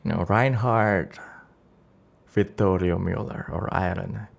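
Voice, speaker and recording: A man acts as game master on a storytelling stream, improvising as he goes. His pace is very unhurried and halting, and he often repeats a word or phrase before moving on. He shifts between narrating the scene and chatting with his viewers. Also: deep voice, vocal fry.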